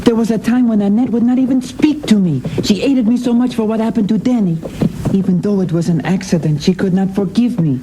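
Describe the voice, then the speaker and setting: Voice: medium pitch, a little fast, natural voice. A performer playing an earnest, remorseful young boy in a radio drama. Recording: noisy radio broadcast recording.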